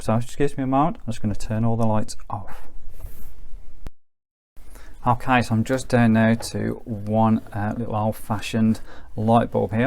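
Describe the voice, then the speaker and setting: A man's voice: medium pitch, vocal fry, darkish tone. A man giving a casual, unscripted product demonstration as a reviewer, explaining things informally with loose, hedging phrases.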